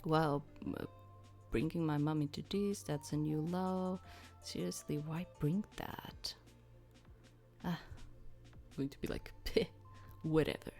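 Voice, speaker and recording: Lower-voiced woman playing and narrating a game. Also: fairly even intonation, takes it slowly, gravelly, pauses often, warm tone, faint music behind. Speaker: let's play host. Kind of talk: reading game narration aloud with casual commentary.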